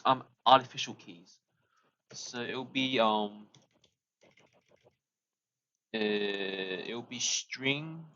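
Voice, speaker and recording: Young male streamer, casual coding chat, mid-pitched, slow, lo-fi audio. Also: a bit bright, a little hiss or hum. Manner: thinks aloud, restarts phrases, chuckles often.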